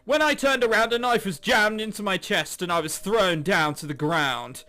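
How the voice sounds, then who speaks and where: Guy as a dead schoolboy in a visual novel, matter of fact storytelling, bright voice, music behind. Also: high voice, normal speed. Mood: explanatory. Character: murdered schoolboy calmly explaining his own death.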